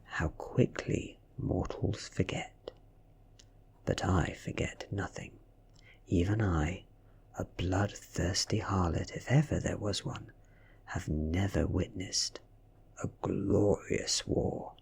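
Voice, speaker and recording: A man with a British accent softly reads a story in ASMR style, breathy and often slipping into a whisper, with measured, dramatic delivery. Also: sits low, steady tone. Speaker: ASMR reader. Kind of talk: soft-spoken ASMR audiobook reading.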